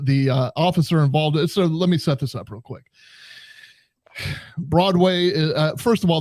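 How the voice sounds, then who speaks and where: Man from western new york, podcast co-host, wry relaxed storytelling, slightly high pitch, dry sound. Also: no echo. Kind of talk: casual podcast chat, setting up a story.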